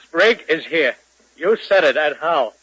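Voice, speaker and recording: Glum everyman with head cold, slangy, fairly high voice, dry muffled 1940 radio sound. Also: animated, unhurried, fairly bright tone, breathy edge, dry recording, heavily acted. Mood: resigned. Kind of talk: 1940s radio comedy acting. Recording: dull 1940 radio broadcast.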